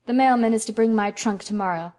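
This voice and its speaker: A woman's voice: on the high side, quick pace, dark tone, close to an everyday voice. A woman voicing a dreamy, effusive young woman who speaks in a lyrical, gushing way.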